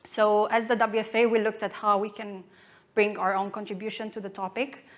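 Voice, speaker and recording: A woman's voice: mid-range voice, little pitch movement, normal speed. A woman in her thirties from Romania with a Romanian accent, giving a conference talk with many fillers and restarts. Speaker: conference speaker.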